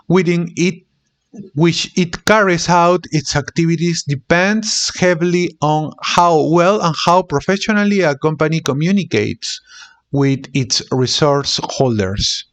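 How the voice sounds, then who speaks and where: Higher-pitched man reading a textbook aloud. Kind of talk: amateur read-aloud of academic text.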